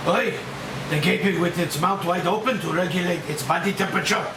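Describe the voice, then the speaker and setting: A man's voice: high-pitched, a little fast. A man playing a blunt, technical animal expert briefing a dangerous mission in a screenplay table read. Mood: instructive, grave. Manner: punctuates explanations with "Oi!". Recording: roomy, distant mic, clear background hiss.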